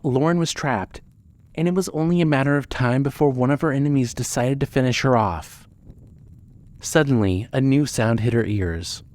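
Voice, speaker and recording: A man's voice: mid-range voice, a little mellow. A man reading scripted material as the narrator and announcer of a fiction podcast.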